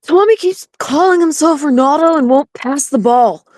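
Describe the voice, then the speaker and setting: A woman's voice: high voice, normal speed, a little mellow, no room sound, studio quality, lightly acted. A woman playing a coach's young kid in an audio play. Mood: exasperated.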